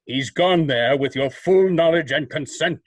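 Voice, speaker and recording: A man playing a mysterious, coolly accusing vigilante with measured, formal, sardonic delivery in old-time radio drama style. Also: on the high side, dry recording.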